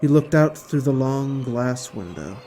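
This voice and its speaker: Male narrator for an audio drama: mid-pitched, dramatic scripted storytelling, soft music bed. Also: lively intonation, a little slow.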